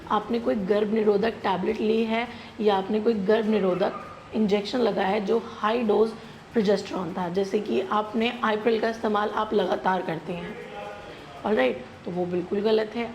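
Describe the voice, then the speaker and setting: A woman's voice: medium pitch, darkish tone, a little hiss or hum, rough recording. A woman with an Indian accent presents as a gynecologist, giving instructive medical advice directly to the listener and mixing in English terms and tags. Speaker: gynecologist presenting a health channel. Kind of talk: explanatory medical advice to camera.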